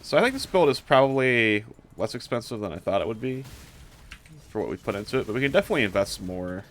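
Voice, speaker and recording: A man's voice: lowish voice, lively intonation, fast talker, a bit bright, slight background noise. A man giving casual gameplay commentary as a streamer, offhand and a little hesitant, thinking aloud as he goes. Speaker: streamer showing a game character build.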